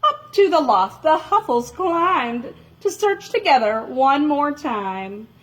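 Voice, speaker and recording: A woman tells a children's story in a slightly high, very animated voice. She takes her time overall and leans into dramatic emphasis, stretching out important words. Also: low-quality recording. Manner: dramatic, expressive, with drawn-out emphasis. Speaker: storyteller reading a children's picture book.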